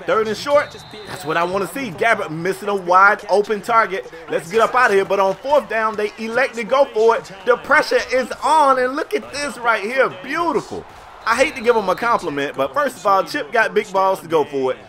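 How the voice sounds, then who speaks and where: An American man giving casual, slangy play-by-play commentary on a sports video game in an animated storytelling manner. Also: high-pitched, moderate pace.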